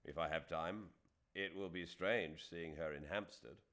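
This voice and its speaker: A man playing a calm, courteous middle-aged English gentleman in an audiobook, level and reasonable. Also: low voice, measured pace. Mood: matter-of-fact.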